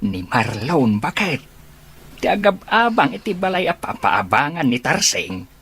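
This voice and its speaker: Woman voicing a husky, grumbling old village husband. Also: deep voice, big pitch swings, unhurried, fairly bright tone, full character voice. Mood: curious. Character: old village husband and father, chiding, exasperated. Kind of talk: audio drama acting.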